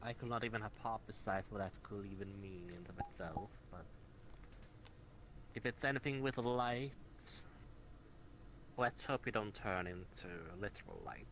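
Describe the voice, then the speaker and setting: A man playing a measured, protective doctor with strange powers in an improvised online roleplay, speaking formally. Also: lowish voice, fairly bright tone. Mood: musing. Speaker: roleplay player. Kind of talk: improvised in-character roleplay chat. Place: virtual reality voice chat. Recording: noisy online voice chat.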